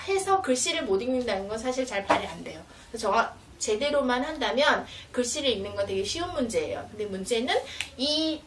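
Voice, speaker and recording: Animated high-voiced woman instructor, roomy sound. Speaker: children's education instructor. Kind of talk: explanatory product demonstration for parents. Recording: roomy, distant mic, faint noise.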